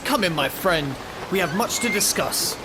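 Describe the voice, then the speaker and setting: A man's voice: sits high, lively intonation, normal speed. An actor playing a flamboyant, condescending aristocratic male poet in a radio drama, with an effusive, grandiloquent manner. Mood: effusive, welcoming. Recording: music behind the voice.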